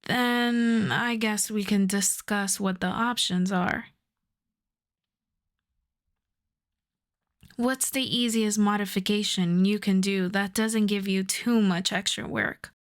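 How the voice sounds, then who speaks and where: A woman's voice: medium pitch, fairly even intonation, close to an everyday voice. A woman playing a wry, flirtatious female supervillain coaxing a favor, in an intimate, conversational ASMR roleplay style. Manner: unhurried, teasing, conversational.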